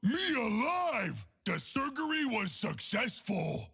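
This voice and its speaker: An actor voicing a huge, dim-witted monster doctor in a video game, rough and booming, cheerfully menacing with broken childlike grammar. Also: male voice, sing-song, takes it slowly, bright, forceful tone, heavily acted. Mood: manic, booming. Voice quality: gravelly, rough. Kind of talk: exaggerated video game character voice lines.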